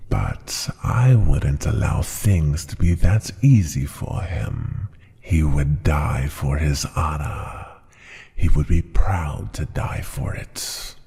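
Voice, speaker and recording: A man voicing a proud, cruel dragon ruler in a fantasy ASMR roleplay, with a deep growl that often sinks to a breathy whisper, speaking in a deliberate, haughty, menacing way. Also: a little mellow, exaggerated voice.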